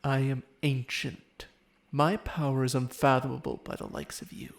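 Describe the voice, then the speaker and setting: A man's voice: mid-range voice, normal speed, gravelly, no room sound, close to an everyday voice. A man voicing a smug, seductive ancient dragon in humanlike form for an ASMR roleplay, teasing and haughty in formal words, with murmurs that slip into whispers. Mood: proud, imperious. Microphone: close microphone, dry sound.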